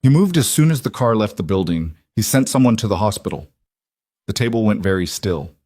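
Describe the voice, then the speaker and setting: Guy narrating an audio story, low voice, measured n deliberate, really dry close recording. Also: very animated, a little mellow, dry recording. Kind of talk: scripted dramatic fiction narration.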